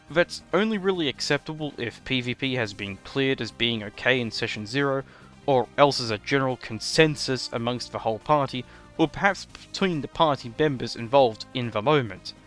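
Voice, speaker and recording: A man hosting a scripted commentary in a formal, wordy manner with measured phrasing. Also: medium pitch, a bit bright. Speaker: host of a tabletop roleplaying commentary show. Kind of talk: scripted video essay commentary. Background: background music.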